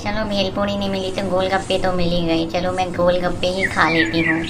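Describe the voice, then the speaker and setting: A woman's voice: lowish voice, completely flat delivery, fairly quick, lightly acted. A woman playing a haughty, scheming young woman who talks with scolding contempt in a moral story. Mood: grudging. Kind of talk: acted moral story for cartoon. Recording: clear background noise with birdsong.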